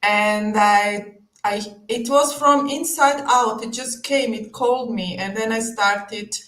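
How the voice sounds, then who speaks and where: A woman from Croatia, a belly dancer talking on a live video call, lively, laughing often and searching for words. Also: fairly high pitch, takes it slowly, reverberant. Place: home room, on a video call.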